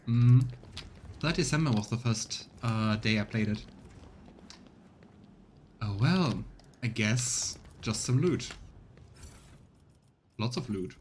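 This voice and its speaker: A man's voice: fairly low pitch, darkish tone, a little hiss or hum. A man giving casual let's play commentary, musing aloud and reading game text out as he streams. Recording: game music behind. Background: video game music.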